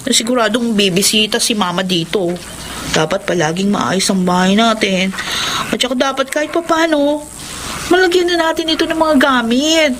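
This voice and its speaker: A woman's voice: a little high, normal speed, background noise, natural voice. A woman playing a discontented young wife worn by money worries in a Tagalog radio drama, speaking in a plaintive, conversational way. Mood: frustrated, plaintive.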